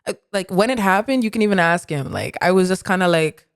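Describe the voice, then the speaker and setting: A woman co-hosting a podcast who talks in an animated, reflective way, full of "like" fillers. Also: medium pitch, a little fast, smooth, dry recording, studio quality. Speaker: podcast co-host. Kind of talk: casual conversation.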